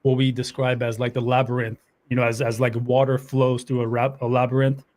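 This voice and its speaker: A man from Afghanistan talks easily as a podcast guest, restarting his sentences now and then as he works out what to say. His voice sits at an ordinary middle pitch, and his delivery stays loose and conversational. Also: fairly quick. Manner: relaxed, repeats words as he thinks. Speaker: guest, tactics instructor. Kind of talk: casual podcast interview.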